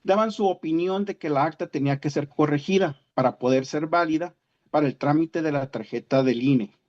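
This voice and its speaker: Over a dull, lo-fi video call, a man with a northern Mexican accent reads a formal statement to a hearing. His voice sits a little high, and he occasionally trips over the written words. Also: darkish tone. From Sonora, Mexico. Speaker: citizen speaker at a hearing. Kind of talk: formal prepared statement read out. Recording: muffled lo-fi video-call audio.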